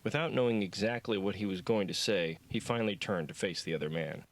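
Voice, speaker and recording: A man's voice: on the low side, fairly quick, dry recording. A man reading fiction aloud as an audiobook narrator.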